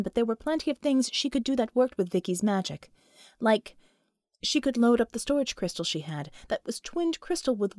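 A woman narrating an audiobook story in an expressive, scripted storytelling style. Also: mid-range voice, no room sound, studio quality.

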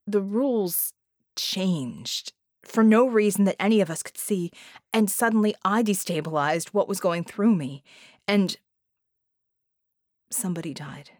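A woman voicing a bitter, guilt-ridden man in an audiobook, gruff and emphatic in manner. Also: fairly low pitch, no echo, clean studio sound. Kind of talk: dramatized audiobook reading.

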